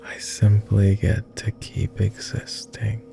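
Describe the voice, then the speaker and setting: A man playing a weary, courteous immortal vampire in intimate ASMR roleplay, speaking softly in formal, hesitant phrases. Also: lowish voice, monotone, gravelly, music in the background, full character voice. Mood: weary, bitter. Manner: formal, measured, hesitant with pauses. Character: weary, reserved, self-loathing male vampire, immortal, courteous.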